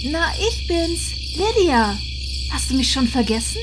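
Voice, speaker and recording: A woman's voice: sits high, sing-song, measured pace, background noise. A woman playing a reproachful, sarcastic friend who feels left out, in a comic audio play. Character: snubbed, sarcastic female friend scolding a writer. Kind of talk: German audio horror-comedy acting. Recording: music behind the voice.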